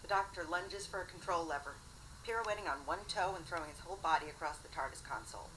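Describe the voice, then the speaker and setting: A woman's voice: fairly low pitch, quick pace, a bit bright. A woman from the United States narrating a scripted story as an amateur reader. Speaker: podfic reader. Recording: faint noisy playback of home recording.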